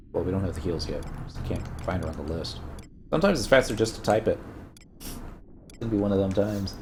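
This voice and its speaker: A man's voice: lowish voice, background noise. A man with a Texan accent casually chatting through his gameplay, rambling and answering viewers as he goes. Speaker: streamer playing an online game. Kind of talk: casual live gameplay commentary.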